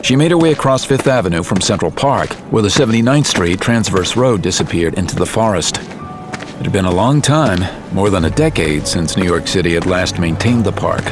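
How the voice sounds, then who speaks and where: A male narrator with a deep voice reads a scripted audio drama. His storytelling is serious and measured, and music runs clearly underneath. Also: lively intonation.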